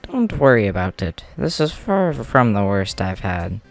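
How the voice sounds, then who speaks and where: A man's voice: on the low side, big pitch swings, a little slow, creaky, full character voice. A man playing a stubborn, polite, workaholic clan leader in an amateur comic dub, talking in an easygoing, emphatic way. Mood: reassuring, casual. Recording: music behind the voice.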